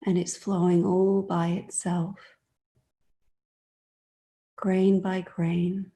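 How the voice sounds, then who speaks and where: Very mellow, slow woman guiding meditation. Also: fairly low pitch, level tone. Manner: soothing, gentle, unhurried with long pauses. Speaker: meditation guide. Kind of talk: guided meditation.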